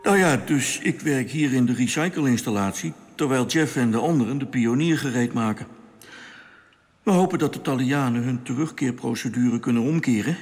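A man's voice: medium pitch, moderate pace, darkish tone, soft background music, lightly acted. An actor playing a nervous, polite, plain-spoken crewman stranded in space, with a hesitant, stammering manner, in a radio drama. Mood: earnest. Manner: hesitant, stammering when uneasy.

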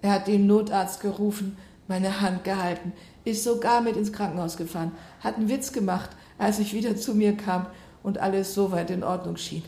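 A woman's voice: mid-range voice, fairly even intonation, normal speed, mellow, reverberant, lightly acted. An actress playing a mother who keeps pressing her daughter with questions. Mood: probing. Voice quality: questioning, insistent. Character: persistent, probing mother. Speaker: mother recovering from heart surgery. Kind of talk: radio play acting.

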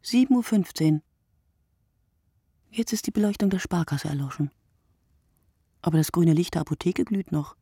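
A husky, weary woman observer. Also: fairly low pitch, lively intonation, mellow, no echo, studio quality. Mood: reflective. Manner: measured, short clipped phrases, often dropping to near whisper. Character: weary, observant woman watching early-morning street from her window. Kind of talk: literary radio play monologue, scripted narration.

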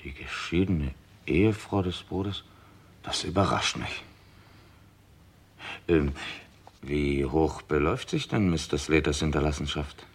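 An actor playing a composed, sceptical police chief inspector in a German radio crime drama, asking measured, dry questions. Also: male voice, very deep voice, husky, exaggerated voice. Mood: measured, probing.

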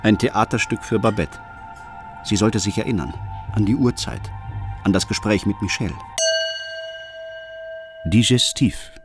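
A man's voice: lowish voice, unhurried, long pauses, over music. An actor in a German radio drama playing a middle-aged father who narrates his brooding, watchful private thoughts.

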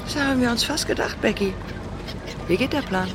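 A woman's voice: a little high, brisk, noisy background, close to an everyday voice. An actress playing a brash, teasing woman getaway driver in a radio play, wry, mouthy and colloquial. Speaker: actress in an audio drama. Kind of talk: naturalistic radio play dialogue.

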